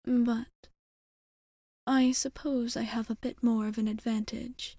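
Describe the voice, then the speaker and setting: Woman as a slow, whispering, tender vampire bartender. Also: a little high, level tone, dry recording, clean studio sound. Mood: caring, concerned. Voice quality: soft, breathy whisper. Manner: slow, deliberate, slightly formal, affectionate. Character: tender, old-souled female vampire bartender in love with a human. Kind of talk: whispered ASMR roleplay.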